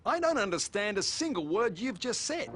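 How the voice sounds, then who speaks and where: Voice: medium pitch, big pitch swings, moderate pace, fairly bright tone. An actor playing a dim, cocky fairy-tale police detective in a cartoon, blunt and bumbling. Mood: baffled. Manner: muddles words, blunt.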